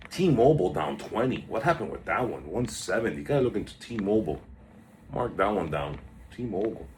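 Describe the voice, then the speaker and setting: A man with a lowish voice talks through the day's stock moves in a loose, rambling way, wandering off into small talk between tickers. It sounds like a casual market update recorded on a phone, with a faint hum of background noise. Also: darkish tone. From South Florida. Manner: chatty, drifts off topic, reads out stock tickers. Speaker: stock market video host.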